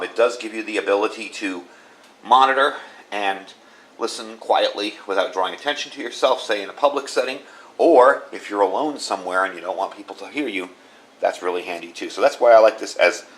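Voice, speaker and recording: A man talks in a fairly low voice. He reviews a product casually, in a plain-spoken, conversational way, indoors at a table. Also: fairly bright tone. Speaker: host reviewing gadgets. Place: small indoor room at a tabletop.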